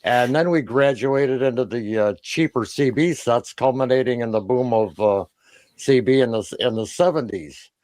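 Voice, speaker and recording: An older American man with a medium-pitched voice and a very dry sound. He explains things as a co-host in a measured, factual manner. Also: a little mellow, no echo. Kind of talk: explaining in conversation.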